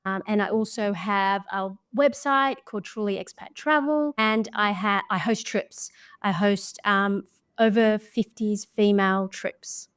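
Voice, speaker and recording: A woman's voice: mid-range voice, steady tone, a little slow, fairly bright tone, smooth. Chatty Australian woman. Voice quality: chatty, laughs often. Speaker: podcast guest, travel creator. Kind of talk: casual storytelling chat.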